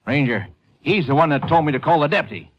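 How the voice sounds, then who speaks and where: An indignant, eager male motorist, acted by a man presses his accusations with wide swings of pitch. The old radio drama recording has clear background noise. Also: lively intonation, quick pace, lightly acted. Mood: accusing. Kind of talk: 1950s radio drama acting.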